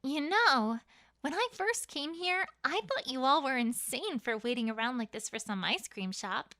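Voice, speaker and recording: A woman's voice: high voice, expressive, moderate pace, sharp tone, dry recording, clean studio sound. A woman voicing an earnest, friendly young unicorn mare in a cartoon fan story, chatty among friends. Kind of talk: cartoon fan fiction voice acting.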